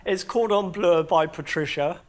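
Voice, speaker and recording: A man's voice: high voice, a little slow. A middle-aged man, a guest at a comedy roast, giving casual, good-humoured answers. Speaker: roast guest, party caterer.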